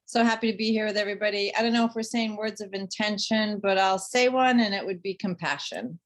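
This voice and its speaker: A woman's voice: mid-range voice, fairly even intonation, fairly quick. A woman from Connecticut introducing herself on a group call, eager and upbeat. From Connecticut, USA. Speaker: participant.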